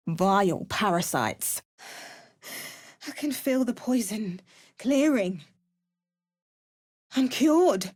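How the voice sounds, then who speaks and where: A woman's voice: medium pitch, dry recording, studio quality. A woman playing an alert, determined adventurer in a video game, giving short remarks and dramatic spell incantations. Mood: urgent, strained. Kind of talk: video game character voice lines.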